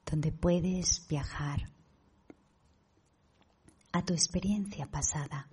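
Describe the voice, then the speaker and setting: Spanish lady from spain doing guided meditation, super breathy husky voice, mellow and calm, takes her time with pauses. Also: deep voice. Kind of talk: guided meditation talk to an online audience.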